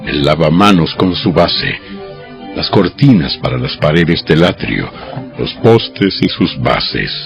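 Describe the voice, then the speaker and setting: Male narrator for dramatized scripture audio. Gravelly, expressive, solemn delivery; slightly dull lo-fi sound. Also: rumbling low, takes it slowly. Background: music.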